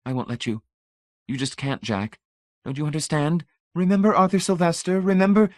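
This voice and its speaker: Storybook role: man playing a worried, devoted young woman, earnest, pitched up. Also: moderate pace, no room sound, clean studio sound, natural voice. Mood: pleading. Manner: raised pitch, earnest and pleading.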